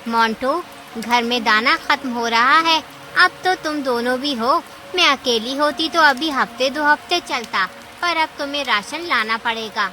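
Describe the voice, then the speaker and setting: A woman's voice: sits high, a little slow, sharp tone. Woman voicing a bossy, scheming cartoon auntie. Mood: bossy, demanding. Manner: domineering, demanding. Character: Meenu Mausi, bossy, scheming, lazy older auntie animal. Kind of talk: Hindi cartoon story dialogue. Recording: clear rain and ambience noise.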